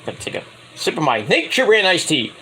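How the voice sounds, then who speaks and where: A man talks through a wrestling show recap on his own, rambling, correcting himself and tossing in sarcastic asides. His voice is high and very animated, with a bright edge. He is coming down with a cold, and a faint hiss of noise sits behind him. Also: fairly quick. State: getting a cold, voice going. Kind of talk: casual solo review recap.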